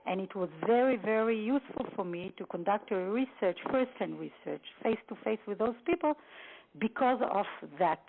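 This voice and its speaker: Woman with a gravelly voice on a phone line. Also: medium pitch, animated. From Israel. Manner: halting, with many fillers and restarts. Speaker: guest expert on a phone seminar. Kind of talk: lecture-style briefing.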